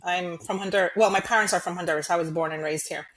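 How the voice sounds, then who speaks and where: A woman's voice: lowish voice, steady tone, fast, fairly bright tone, poor audio. A young woman from New York, a guest in a casual interview, talking easily and laughing often. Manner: easygoing, laughs often, mixes in Spanish words.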